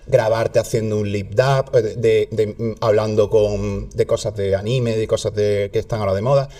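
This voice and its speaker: A man with a southern Spanish accent, a guest in a casual interview, talking in an animated way with many restarts. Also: fairly low pitch, normal speed. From southern Spain. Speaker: health content creator guest.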